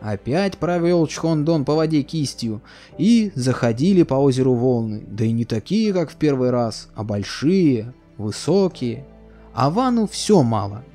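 A man narrating a fairy tale for a cartoon in a sing-song, expressive storytelling manner. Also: mid-range voice, measured pace. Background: faint music.

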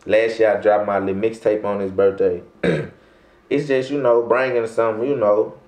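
Laid-back young man, low voice, echoey room. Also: fairly even intonation, normal speed, a little mellow. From Louisiana. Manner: laid-back, rambling, heavy slang, frequent throat clearing. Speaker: rapper guest. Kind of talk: casual interview talk. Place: small room, seated in armchair.

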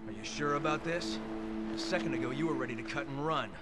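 A man's voice: on the high side, fast, natural voice. An actor playing a determined, haunted soldier in his thirties in a video game cutscene, speaking in a grave, terse way. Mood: urgent. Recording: game audio under loud music.

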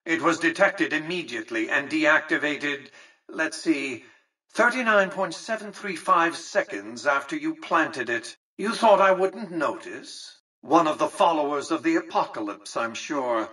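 An actor playing an imperious, calculating old tycoon in a video game, speaking with an American accent in a pompous, precise, condescending manner. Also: male voice, on the high side, expressive, measured pace, pushed and bright, slightly rough, recorded from a distance, heavily acted, poor audio. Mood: haughty. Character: imperious, calculating aged male tycoon autocrat. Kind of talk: scripted video game dialogue.

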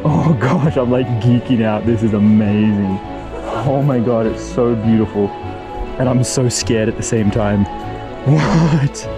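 Excitable man vlogging on a mountain trail. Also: medium pitch, measured pace. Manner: excited, swears, mixes English with Japanese. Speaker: vlog host. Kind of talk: casual travel vlog chat. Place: outdoors on a forested mountain trail. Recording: outdoor vlog audio with music underneath.

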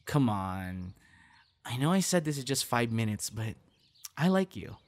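A man's voice: mid-range voice, sing-song, a little slow. Man acting a friendly, coaxing young guy. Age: young adult. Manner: earnest, coaxing, sighs and breathy reactions. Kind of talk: ASMR audio roleplay, one-sided dialogue to listener.

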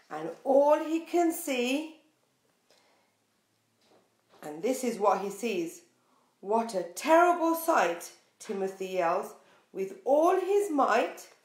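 Slow, echoey woman reading to small children. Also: medium pitch. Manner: expressive, sing-song, questioning the young listeners. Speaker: preschool storyteller. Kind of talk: picture book read-aloud for young children. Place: small bare room indoors.

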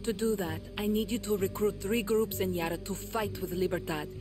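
A woman voicing a tough rebel leader in a video game who gives orders in a brisk, commanding tone. Her voice sits at an ordinary pitch, and a loud score plays under her. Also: steady tone, music in the background, natural voice. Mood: determined. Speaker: guerrilla leader. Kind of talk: video game cutscene acting.

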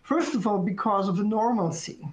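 A man's voice: sits high, moderate pace, darkish tone, a little hiss or hum, poor audio. Hesitant man speaking on a video call. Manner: hesitant, stumbling restarts. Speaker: discussant. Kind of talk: panel discussion remarks. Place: indoor office with bookshelves. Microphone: video call webcam.

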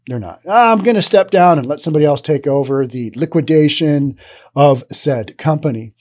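A man in his thirties hosting a podcast, giving casual, sarcastic commentary on the news into a studio boom mic. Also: darkish tone. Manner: sarcastic, acts out imagined dialogue. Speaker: podcast host. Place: dim studio with brick wall and neon sign.

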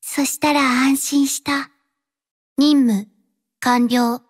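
High-voiced, slow-spoken reserved young mercenary. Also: female voice. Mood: businesslike, calm. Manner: quiet, terse, halting, flatly earnest delivery. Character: reserved, dutiful young female mercenary robot-arm mechanic. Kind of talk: Japanese anime-style mobile game voice lines.

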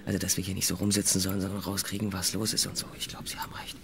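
Furtive, low, hushed passenger. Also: male voice, completely flat delivery, vocal fry. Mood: furtive, hesitant. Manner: hushed, halting, colloquial mumbling. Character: scruffy, furtive young male passenger, slangy and hesitant. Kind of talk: modern German radio crime drama acting.

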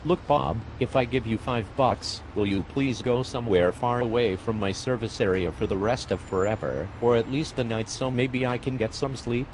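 A man voicing a tired, sarcastic male fire medic in an amateur animated comedy, speaking dryly and with exasperation. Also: medium pitch, moderate pace, few pauses, a little hiss or hum, natural voice, low-quality recording.

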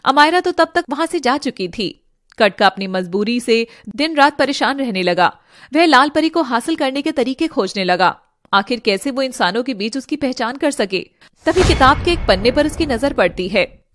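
High-voiced woman narrating a dramatic story. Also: animated, normal speed, a bit bright, no echo, clean studio sound.

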